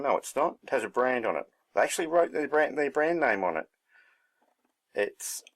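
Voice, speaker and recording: A man giving a casual hands-on demonstration, thinking aloud in a laid-back, unscripted way as he works. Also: medium pitch. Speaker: hobbyist presenter.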